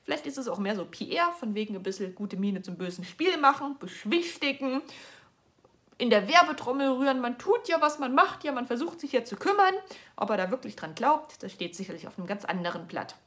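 A woman's voice: on the high side, sing-song, brisk, fairly bright tone. A young woman from Germany giving casual, sarcastic news commentary on a livestream from her sofa, chatty and often restarting her sentences. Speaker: political vlogger. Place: indoors at home, seated on a sofa.

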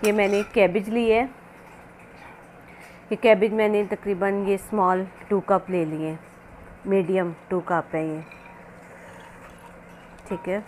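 A woman's voice: medium pitch, flat delivery, slow pace, long pauses, darkish tone. A woman narrating a recipe casually as a home cooking host, instructional and often repeating herself. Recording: noisy amateur home recording.

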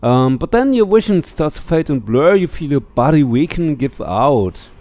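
A man's voice: a little high, very animated, moderate pace, dark tone, dry recording. A middle-aged man giving casual, musing game commentary on a headset mic, reading text aloud as he goes. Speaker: let's play streamer. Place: indoor room. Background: faint game music.

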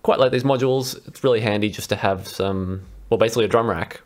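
A man's voice: on the low side, quick pace. A young adult man casually talking through a music patch demonstration in a laid-back, informal way. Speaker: vlog host, electronic musician. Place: small room indoors at a computer. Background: synthesizer music.

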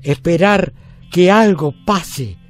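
An actor playing a weary retired man in his eighties with an Argentine accent, reflecting deliberately in an audio drama. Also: high-pitched. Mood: reflective, resigned. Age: 80s. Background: music.

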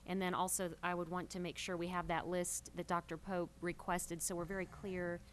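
A woman with a somewhat low voice addresses a public meeting as a council member. She is earnest and circles around her point. There is faint noise behind her. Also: little pitch movement, moderate pace.

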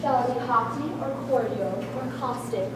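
A woman's voice: fairly high pitch, moderate pace, close to an everyday voice. A young woman in an amateur stage play, playing a wife's poised, calculating social mask with suave, formal diction. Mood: haughty, cool. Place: large school hall with small audience. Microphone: far camera. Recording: echoey hall, distant camera, room noise.

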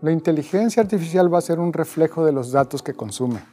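Measured man with a mellow voice. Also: a little high, expressive, soft background music. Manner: measured, deliberate. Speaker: tech expert. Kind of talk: interview answers.

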